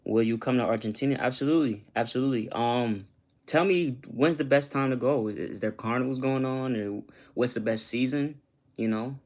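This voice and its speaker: A man's voice: mid-range voice, moderate pace, darkish tone. A man from Costa Rica, a YouTuber, chatting loosely with his viewers and often asking "you know what I'm saying". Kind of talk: casual livestream chat with viewers.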